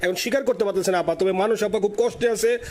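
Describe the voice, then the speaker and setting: Man from bangladesh, phone call, high-pitched voice, eager and deferential. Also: fast. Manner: deferential, eager, keeps saying yes sister. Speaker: party supporter calling from abroad. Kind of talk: phone conversation.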